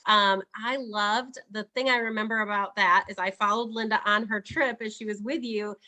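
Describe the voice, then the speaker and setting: A woman's voice: medium pitch, steady tone, normal speed, bright, forceful tone. A woman hosting a casual online chat, laughing often and encouraging her guest over a video call. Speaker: host.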